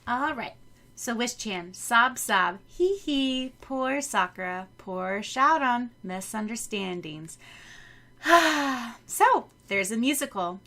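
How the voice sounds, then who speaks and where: Bright woman reading notes aloud playfully. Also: medium pitch. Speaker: podcast co-host.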